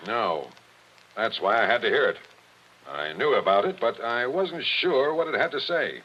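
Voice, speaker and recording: An actor playing a blunt, impatient police captain in a 1940s radio mystery. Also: male voice, medium pitch, animated, bright, forceful tone. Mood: tough. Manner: blunt, pushy, brisk. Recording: dull old radio broadcast.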